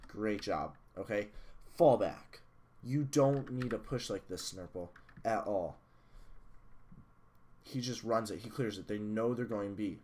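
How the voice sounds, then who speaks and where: A man reviewing a match replay as a coach, blunt and analytical, a little hoarse from losing his voice. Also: on the low side, unhurried. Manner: analytical, blunt, swears at software glitches. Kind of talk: casual match review commentary.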